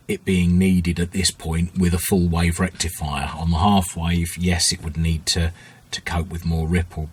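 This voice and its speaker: A man giving an informal workbench tutorial as a hobbyist restorer, thinking aloud in a hesitant, unhurried way with a husky voice. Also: low voice, a little hiss or hum. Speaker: hobbyist radio restorer, video host.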